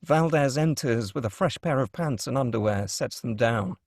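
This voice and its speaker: A man's voice: mid-range voice, lively intonation, normal speed, a little mellow, no echo. Dry, close-miked male screenplay narrator. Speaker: narrator reading stage directions. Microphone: close, dry microphone.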